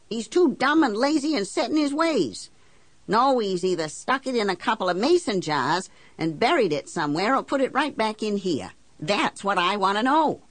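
A woman acts out an island housewife with no polish and plenty of grievance, in an audiobook monologue. Her voice sits a little low and swings widely as she talks. The talk is folksy and indignant, full of country grammar, in a close, dry recording. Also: no room sound. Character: blunt, embittered working-class island housewife telling her story. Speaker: audiobook narrator. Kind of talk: first-person storytelling in character.

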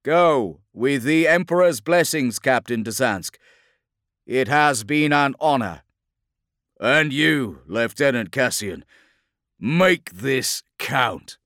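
A man's voice: medium pitch, animated, slow pace, fairly bright tone, no room sound, studio quality, voice pushed up. A man voicing a noble, solemn lord commander in an audiobook, with a grave and ceremonial manner. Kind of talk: dramatic audiobook character acting.

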